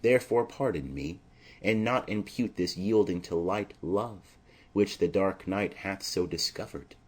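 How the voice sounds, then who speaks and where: An earnest young maiden in love, acted by a man, speaks Shakespearean verse in an audiobook drama. The delivery is slow and anxious, with a husky grain to the voice. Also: deep voice. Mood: tender, earnest.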